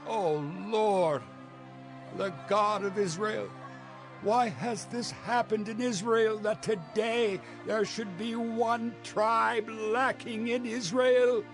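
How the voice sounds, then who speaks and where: A man's voice: high-pitched, steady tone, slow. A man playing an anguished Israelite lamenting and pleading with God in a dramatized scripture production. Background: prominent music.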